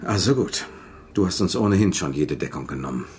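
An actor playing a guarded, impatient spy in a German radio play, speaking curtly. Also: male voice, low-pitched, expressive, a little fast, faint music behind. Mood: uneasy, curt. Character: guarded, impatient male spy hiring a detective.

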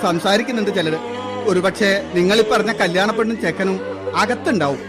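An actor playing a rescue worker who calmly reassures a frightened father in a radio play. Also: sits high, over music, natural voice. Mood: reassuring. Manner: quick, reassuring.

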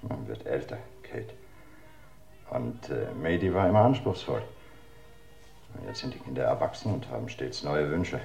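An actor playing a weary, solicitous middle-aged bookkeeper in a radio crime drama, hesitant and confiding. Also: male voice, a bass voice, expressive, normal speed, gravelly, pauses often, darkish tone, exaggerated voice. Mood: resigned, wistful. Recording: roomy radio drama recording, faint music.

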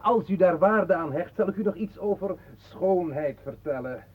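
A peculiar sidekick in an absurdist radio play, dryly poetic and cryptic. The voice is high for a man and husky, on a muffled old broadcast recording. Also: lively intonation, normal speed. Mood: sententious. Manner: cryptic, sententious pronouncements.

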